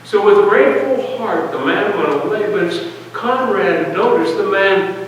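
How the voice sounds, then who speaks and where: A man reading a narrative poem aloud like a storyteller at a church service, deliberate and pausing between short phrases. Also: high voice, animated. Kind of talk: dramatic poetry reading, storytelling. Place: large church hall, heard from a distance. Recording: distant, echoey church hall, faint noise.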